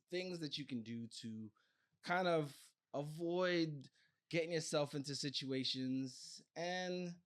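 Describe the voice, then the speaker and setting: An American man hosts a conversational podcast interview. His voice sits at a middle pitch for a man. He speaks informally, as an interviewer talking with a guest. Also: clear, resonant tone. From Brooklyn, New York, United States.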